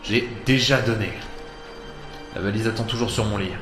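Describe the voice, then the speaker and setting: A man's voice: on the low side, fairly even intonation, moderate pace, lightly acted. An actor playing a weary, sardonic male adventurer in an audio drama, speaking in a dry manner. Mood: weary, brooding. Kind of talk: audio drama dialogue and inner monologue. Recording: echoing, long reverb, music underneath.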